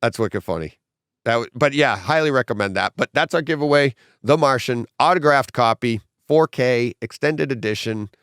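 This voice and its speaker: A middle-aged man hosting a casual home theater podcast with his son. He tells stories animatedly and acts out quotes, and his voice is slightly hoarse. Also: medium pitch, normal speed, a bit bright, no echo, studio quality. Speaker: podcast host.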